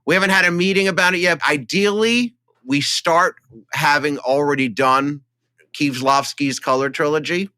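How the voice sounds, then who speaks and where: A man's voice: a little high, steady tone, moderate pace, bright, forceful tone, clear, resonant tone, dry recording. A man co-hosting a film discussion podcast, talking with wry, theatrical flourishes. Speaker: podcast co-host. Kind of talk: film discussion podcast, chat and read-out copy.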